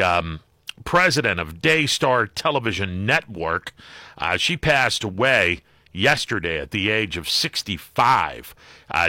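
A man's voice: fairly low pitch, bright, forceful tone, no echo, clean studio sound. An American man hosting a radio call-in show, talking casually in a wry, hesitant, conversational way. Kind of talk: casual talk radio monologue and caller chat. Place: radio studio. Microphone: close studio microphone.

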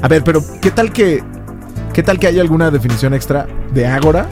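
A man's voice: on the high side, lively intonation, fairly quick, music in the background. Playful man reading messages aloud. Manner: playful, jokey, reads definitions aloud. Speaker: podcast co-host. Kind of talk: casual banter reading listener messages.

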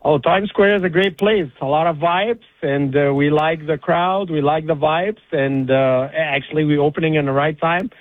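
A man from Egypt who owns an ice cream shop, speaking politely with many pauses over the phone as a caller. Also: fairly high pitch, fairly even intonation, no echo. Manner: polite, hesitant with fillers. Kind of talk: phone interview. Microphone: phone line. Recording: tinny phone line.

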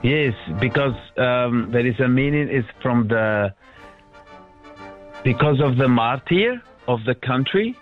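A man from Algeria with an Algerian accent, a native Arabic speaker calling in to radio, speaking in halting, simple phrases. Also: mid-range voice, animated, soft background music. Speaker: radio caller. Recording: lo-fi phone line.

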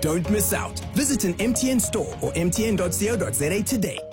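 A woman reading a radio commercial in a smooth, polished delivery. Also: medium pitch, expressive, brisk. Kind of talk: commercial voice-over. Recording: voice over loud music.

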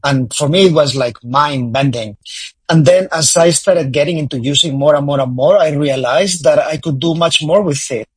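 Spanish-accented man guest, a bit high and husky, speaking haltingly with restarts, in a dry, close sound with no room behind him. Unscripted interview talk. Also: no echo. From Spain. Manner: halting, many restarts and fillers. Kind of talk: casual interview.

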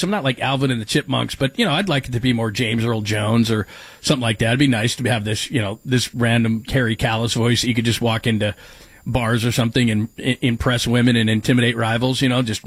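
Man, sports radio host, husky voice, dry close sound, joking and rambling. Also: mid-range voice, no echo. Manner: jokey, rambling, laughs at his own bits. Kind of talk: casual sports radio chat.

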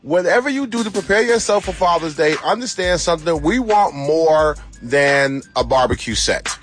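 A man's voice: on the high side, lively intonation, normal speed, soft background music. A man doing a loose, slangy live radio ad from a store. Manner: hype, slangy, self-mocking. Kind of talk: loose, improvised promo.

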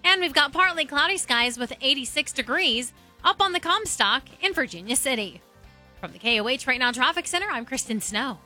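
A woman's voice: sits high, lively intonation, a little fast, pushed and bright, faint music behind. A woman with an American accent giving chatty radio traffic reports.

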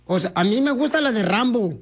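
A man with a Mexican accent hosting a radio prank call, teasing with absurd deadpan jokes. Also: very high voice, brisk, a crowd in the background. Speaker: radio prank caller host.

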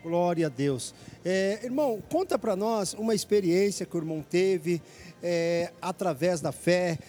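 A man's voice: high-pitched, measured pace, warm tone, faint music behind. A man hosting an evangelical radio program from a church headquarters, preaching with fervent urgency.

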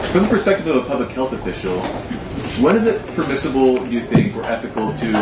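A man's voice: mid-range voice, lo-fi sound. A man in the audience at a bookstore talk, asking a careful question and searching for words. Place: bookstore event. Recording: echoey room, far from mic, loud noise.

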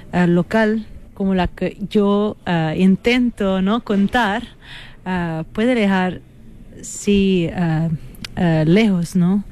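A woman from Italy speaking Spanish with an Italian accent as a guest musician in a radio interview, earnest and reflective, often pausing and restarting as she searches for words. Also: mid-range voice, warm tone. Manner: hesitant, searching for words, with many fillers and restarts.

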